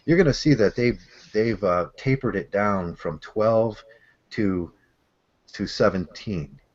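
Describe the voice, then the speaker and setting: A man hosting a casual online discussion, with a soft voice that has a gravelly undertone and a relaxed, blunt, rambling way of talking. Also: on the low side, takes it slowly. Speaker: host. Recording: dull lo-fi call audio.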